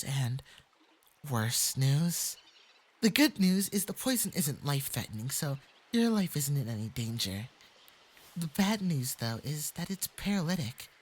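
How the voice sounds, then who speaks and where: A man playing a young kitsune fox boy who is sweet, polite and quietly possessive, speaking gently and hesitantly in an intimate ASMR roleplay with a soft, breathy voice. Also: fairly high pitch, a little hiss or hum. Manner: gentle, hesitant, coy, conversational.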